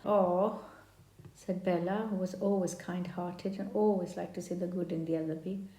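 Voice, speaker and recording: A woman narrates a children's bedtime story as a storyteller. Her tone is soft and mellow, recorded with a clear roomy echo. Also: on the low side, level tone, brisk.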